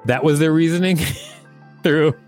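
A man's voice: a little high, unhurried, darkish tone, faint music behind. A man co-hosting a podcast, reading an article aloud and breaking off for dry joking asides. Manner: dry, joking asides between read passages. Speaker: podcast co-host.

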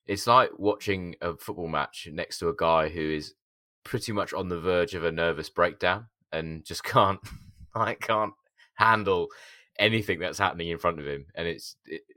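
A man with a hoarse, gravelly voice, co-hosting a podcast in casual chat, laughing a lot and stumbling over his words. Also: low-pitched, moderate pace, pushed and bright, no echo, studio quality. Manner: laughs often, stammering restarts. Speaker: podcast co-host.

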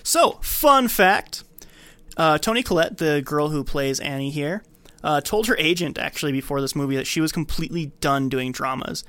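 A man's voice: on the high side, lively intonation, moderate pace, bright, forceful tone, clean tone, no echo. A man co-hosting a podcast, casually recapping and commenting on film scenes. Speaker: podcast co-host.

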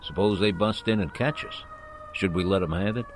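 A man's voice: low voice, moderate pace, a little hiss or hum, natural voice. An actor playing a tough, slangy, wisecracking gangster henchman in a 1940s-style radio play. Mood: dismissive, tough. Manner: slangy, tough-guy talk. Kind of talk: 1940s radio crime drama acting.

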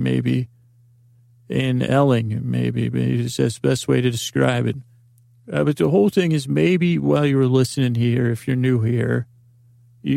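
A man's voice: mid-range voice, dark tone, dry recording, clean studio sound. A man hosting a sleep podcast, rambling and meandering and often cutting himself off mid-thought. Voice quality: gravelly, creaky voice. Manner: meandering, self-interrupting, drifts in pace. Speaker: podcast host. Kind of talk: rambling bedtime podcast talk.

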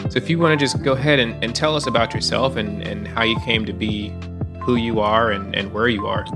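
A man's voice: on the low side, steady tone, brisk, over music. A man hosting a podcast interview, welcoming a guest in a friendly way with a few restarts. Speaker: podcast host.

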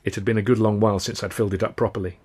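A man's voice: fairly low pitch, fairly even intonation, racing, darkish tone, husky. A man reading his own novel as a wry first-person audiobook narration.

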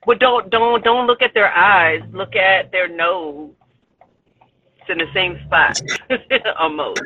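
High-voiced man on a tinny phone line. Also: animated, takes it slowly, slightly rough, dry recording. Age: 40s. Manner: upbeat, encouraging, laughs often. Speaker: podcast guest. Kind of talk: casual chat. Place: in a car on the street. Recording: tinny phone line with faint road noise.

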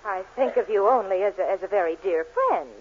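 A woman's voice: mid-range voice, animated, a little fast, close to an everyday voice. An actress playing an insistent, flirtatious married woman in a theatrical 1940s radio sitcom style. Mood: coy, coaxing. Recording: muffled, noisy vintage 1940s radio recording.

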